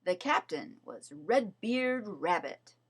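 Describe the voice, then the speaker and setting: A woman reading a children's story aloud as narrator, in an expressive, measured storytelling manner. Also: fairly low pitch, slightly rough. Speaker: storybook reader.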